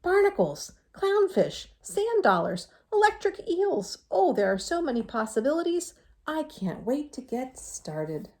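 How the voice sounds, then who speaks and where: A woman reading a children's storybook as an eager, polite, warm-hearted male hermit crab, exclaiming with sincere wonder. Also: high-pitched, very animated, measured pace, pitched above the performer's own voice, heavily acted. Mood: excited. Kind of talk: children's storybook read-aloud.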